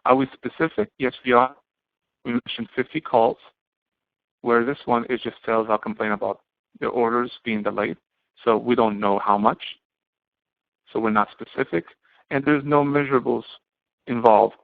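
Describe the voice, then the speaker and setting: A man giving an explanatory lecture to students as an instructor, speaking haltingly and often posing questions that he then answers himself. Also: medium pitch, fairly even intonation, creaky, no room sound. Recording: muffled, band-limited, phone-like audio.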